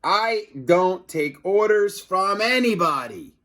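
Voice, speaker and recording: A man's voice: high-pitched, lively intonation, slow, fairly bright tone, pitched above the performer's own voice, heavily acted. A man voicing a bold, cocky, childlike male superhero who declares himself heroically and sings out. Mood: defiant.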